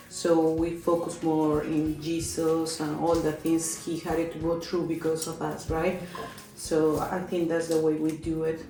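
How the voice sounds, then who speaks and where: Woman from peru, low voice, very echoey room, distant, faint music, eager chatty guest. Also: a little mellow. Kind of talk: casual chat.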